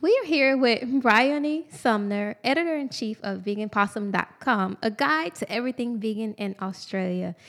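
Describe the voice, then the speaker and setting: A woman's voice: on the high side, moderate pace, hardly any pauses, fairly bright tone, soft background music. A young adult woman hosting an interview, enthusiastic, often saying "like" and "mm-hmm". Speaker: interview host. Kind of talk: casual interview chat.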